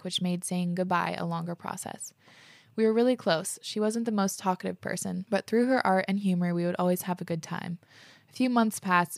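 A woman's voice: on the low side, vocal fry, no echo, clean studio sound. A young woman hosting a podcast, reading listener stories aloud and reacting to them casually, with frequent "like" fillers and the odd swear word. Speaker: podcast host.

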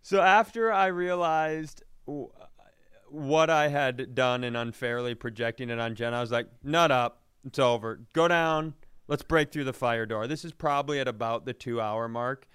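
A man's voice: medium pitch, normal speed, a bit bright, clean tone, no echo. Man telling self-deprecating stories, clean dry sound. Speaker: comedy podcast host telling a personal story. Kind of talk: casual comedic storytelling.

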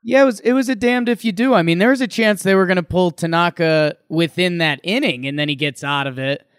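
A man's voice: high voice, a little fast, smooth, no echo, clean studio sound. An American man from Connecticut chatting casually and easily about sports as a podcast co-host. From Connecticut, United States.

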